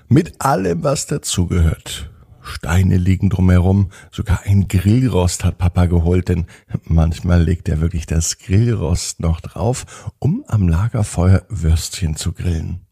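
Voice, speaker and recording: Male narrator for kids' bedtime tales: dark, mellow tone, slow and gentle delivery with dramatic pauses. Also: sits low, creaky, husky, no echo, clean studio sound. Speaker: children's bedtime podcast storyteller. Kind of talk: bedtime story narration for children.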